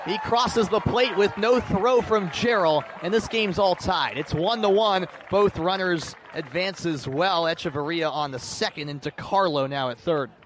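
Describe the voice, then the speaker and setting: A man's voice: sits high, a little slow, few pauses, noisy background. A young man doing radio baseball play-by-play in a descriptive, dramatic way at an outdoor ballpark. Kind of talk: radio sports play-by-play commentary.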